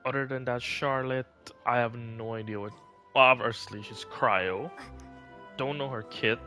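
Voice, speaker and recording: A man's voice: medium pitch, fairly even intonation, measured pace, sharp tone, soft background music. A man chatting casually on a live game stream, rambling and thinking aloud as he goes.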